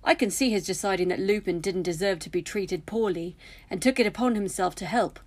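A woman's voice: mid-range voice, a little fast, a little mellow, close to an everyday voice. Woman doing a snide young guy wizard, drawly and smug, always teasing. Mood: dismissive. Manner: drawling, needling.

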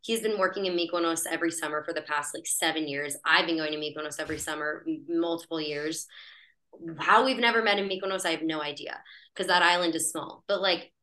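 A woman's voice: sits low, steady tone, fast, bright, forceful tone. A woman telling a personal story as a podcast guest in a chatty, breezy way, laughing as she talks. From United States. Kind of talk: casual storytelling in conversation.

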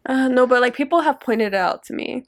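A woman's voice: high voice, expressive, brisk, gravelly. A young woman co-hosting a casual late-night podcast chat, giggling, teasing and swearing freely. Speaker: podcast co-host.

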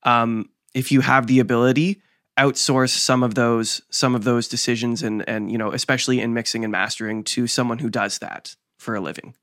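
A man who hosts the podcast and works as a recording engineer explains his craft in a measured, deliberate way. His voice sits at an ordinary male pitch. The sound is close and dry. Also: steady tone, fairly bright tone, no room sound. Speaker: podcast host, mastering engineer. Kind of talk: casual podcast conversation.

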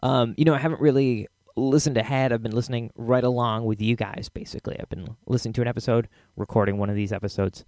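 A man's voice: mid-range voice, steady tone, fast talker, few pauses, a little mellow, no room sound, studio quality. A man with an American accent hosting a podcast in a casual, rambling, reflective way. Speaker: podcast host.

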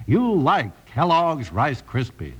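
A man's voice: a little high, wildly swooping pitch, slow, heavy background noise. A man announcing and narrating an old radio western in a polished, dramatic, scripted 1950s style.

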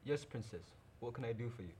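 A man's voice: mid-range voice, expressive, fast, dark tone, slight background noise, natural voice. A man playing a courteous, formal royal attendant, deferential but strict, in an audio drama.

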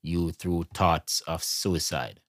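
Jamaican guy hosting an interview, low voice, affirming and emphatic, no room echo. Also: takes it slowly, studio quality. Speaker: interviewer, host. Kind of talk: conversational interview.

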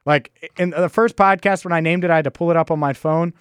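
Man, sports podcast host, casual chat, slightly high pitch, dry studio-like sound. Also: steady tone, fast, smooth, dry recording.

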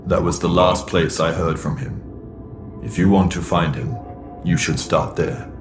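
Very deep, solemn alien. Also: male voice, steady tone, music in the background. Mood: grave. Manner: terse, measured, solemn. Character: Throg, grave lawful alien Ferran, secretly protective. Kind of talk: sci-fi audio drama acting.

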